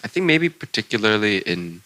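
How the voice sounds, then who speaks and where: A young man, a poet speaking on a festival panel, measured and reflective, into a handheld mic in a large hall. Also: on the low side, big pitch swings, sharp tone. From Singapore. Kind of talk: panel conversation. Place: large bright public hall indoors. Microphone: handheld microphone.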